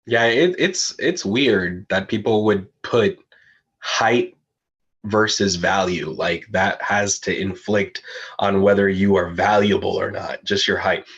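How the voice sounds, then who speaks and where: A man's voice: low voice, slow pace, creaky, fairly bright tone, breathy edge. Upbeat male interviewer with dull audio. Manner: enthusiastic, supportive, repeats words. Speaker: pageant analyst and interviewer. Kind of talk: live online interview. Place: United States.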